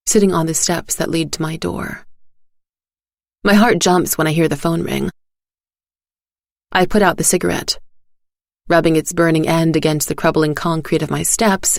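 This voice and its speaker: Creaky-voiced woman narrating a story. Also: low-pitched, no echo, studio quality. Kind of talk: scripted first-person story narration.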